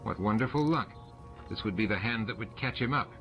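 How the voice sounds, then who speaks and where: Low-voiced male audiobook narrator over music. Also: a little fast, dull audio. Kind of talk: scripted narration of science fiction novel. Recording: music and effects under the voice. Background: music and sound effects.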